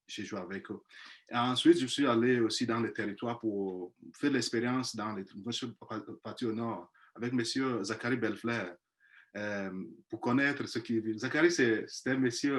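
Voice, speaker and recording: A man's voice: fairly low pitch, normal speed, a bit bright. A man, a missionary priest not speaking his first language, telling of his experience in a webinar on a video call, hesitant with many restarts. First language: not French; speaks five languages. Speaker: missionary priest, panel guest. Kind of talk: personal testimony in a webinar.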